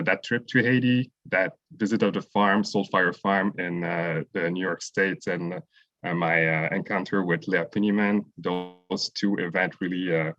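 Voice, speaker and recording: A man speaking as a panelist, halting and hesitant with many fillers. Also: low-pitched, fairly bright tone, dry recording. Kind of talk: panel talk. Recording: dull, dry sound.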